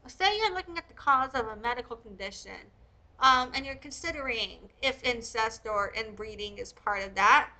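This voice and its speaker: A woman's voice: on the high side, animated, moderate pace, sharp tone, poor audio. A woman reading aloud and commenting as a host, in a blunt, opinionated, casual way. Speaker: host reading and critiquing a historical text.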